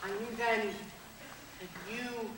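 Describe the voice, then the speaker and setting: A woman on stage playing an earnest, lovestruck best friend, shy and halting in delivery. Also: fairly high pitch, fairly even intonation, noisy background. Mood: nervous longing. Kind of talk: stage play acting. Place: school theatre stage, recorded from the audience. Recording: very echoey hall, far from mic.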